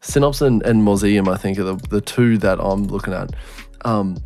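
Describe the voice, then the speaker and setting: A man's voice: on the low side, moderate pace, vocal fry, darkish tone, faint music behind. A man in his twenties co-hosting a podcast, rambling in casual chat with heavy swearing and lots of fillers. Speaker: podcast co-host.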